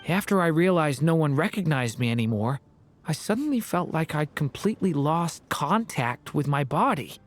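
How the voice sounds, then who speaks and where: An actor voicing a gentle, earnest, hesitant young man in a video game. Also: on the high side, expressive, moderate pace. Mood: subdued, earnest. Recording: background music under voice.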